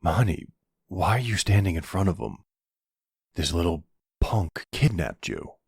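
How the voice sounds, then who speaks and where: A man's voice: sits low, sing-song, unhurried, gravelly, breathy edge, no echo, clean studio sound, full character voice. A man playing a tough, sardonic older mafia boss and protective boyfriend, threatening and streetwise, in an ASMR roleplay drama. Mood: menacing, sardonic.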